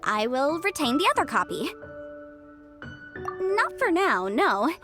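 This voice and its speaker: A woman's voice: high voice, big pitch swings, slow pace, music in the background, full character voice. A woman playing a confident, clever, youthful female legal expert in an anime-style game, explaining things in an articulate, self-assured way. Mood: businesslike.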